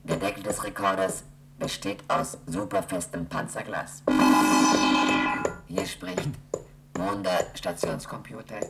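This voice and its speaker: A woman voicing a space station computer in a radio play, speaking in measured, mechanical announcements. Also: very deep voice, fairly even intonation, heavy background noise. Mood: flat. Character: flat, monotone female space station computer.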